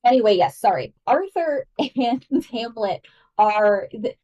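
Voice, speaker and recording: A woman co-hosting a podcast, explaining in a casual, excitable way with many fillers. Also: fairly high pitch, recorded from a distance, muffled recording. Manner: fast, filler-heavy, excitable. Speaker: podcast co-host. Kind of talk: enthusiastic explaining in casual chat.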